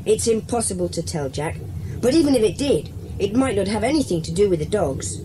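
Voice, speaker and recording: A woman playing a bossy, sensible schoolboy leader in a children's audio drama, speaking in a brisk, decisive way. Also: mid-range voice, animated, mellow, slight background noise, close to an everyday voice, low-quality recording. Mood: thoughtful.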